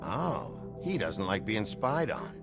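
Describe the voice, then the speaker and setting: An actor playing a surly, hard-boiled sheriff in a noir video game, gravelly, terse and sardonic. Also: male voice, fairly low pitch, huge pitch swings, takes it slowly, loud music behind the voice, heavily acted. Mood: sardonic, grim.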